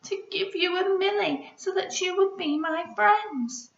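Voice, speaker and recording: A woman's voice: very high-pitched, a little slow, vocal fry, far from the mic, pitched above the performer's own voice, exaggerated voice. A woman voicing a small, shy, childlike storybook creature with an eager, pleading manner. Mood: sad, pleading. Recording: roomy, slightly dull home recording.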